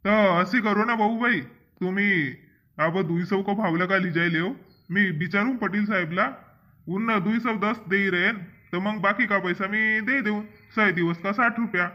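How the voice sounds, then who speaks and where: A man's voice: high-pitched, normal speed, close to an everyday voice. A man playing a tight-fisted village farmer in a cartoon comedy, bargaining firmly with a Powari accent. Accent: Powari, eastern Maharashtra and Balaghat region. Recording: dull, muffled lo-fi sound.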